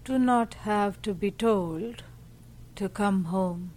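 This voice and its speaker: Woman meditation teacher, really slow n gentle, medium pitch, lots of pauses between phrases. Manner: gentle, measured, pausing between short phrases. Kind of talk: slow guided meditation narration.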